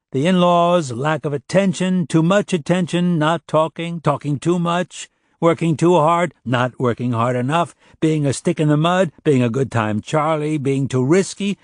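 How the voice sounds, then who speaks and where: A man's voice: a little high, no room sound, clean studio sound, voice pushed up, close to an everyday voice. A man voicing a wry, insistent male police detective questioning someone in an audiobook. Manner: wry, pressing. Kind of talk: audiobook character dialogue.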